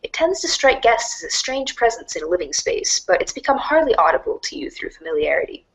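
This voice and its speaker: A woman voicing a wry, mock-grandiose storybook narrator in a dramatic read-along style, deadpan and sardonic. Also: on the high side, brisk, rough recording. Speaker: narrator of a fan readers' theater show.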